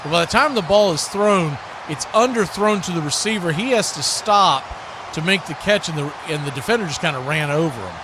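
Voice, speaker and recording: A man doing excited live play-by-play commentary of a football game. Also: fairly high pitch, a little fast, noisy background.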